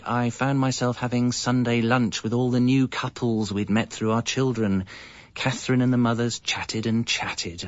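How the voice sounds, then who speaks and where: A man's voice: fairly low pitch, no room sound, muffled recording, lightly acted. A male actor with an English accent playing a discontented, evasive young father, narrating a scripted radio comedy in a wry, confessional way. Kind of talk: scripted first-person comic narration.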